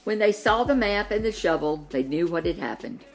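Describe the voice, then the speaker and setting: Female children's storyteller, expressive scripted narration, slightly low register, highly varied intonation. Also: normal speed, soft background music, rough recording.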